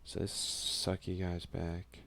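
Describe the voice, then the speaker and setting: A man softly giving casual ASMR let's play commentary, muttering offhand asides and reading game text aloud. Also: deep voice, monotone, slow, gravelly. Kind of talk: ASMR gaming commentary, soft-spoken and casual.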